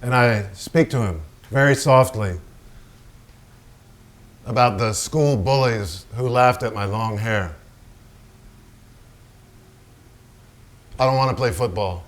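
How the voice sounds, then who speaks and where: Male actor as troubled celebrity painter, brash, vulgar, halting, mid-pitched voice, dramatic stage monologue. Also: close to an everyday voice. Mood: confiding, uneasy. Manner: profane, raw, halting.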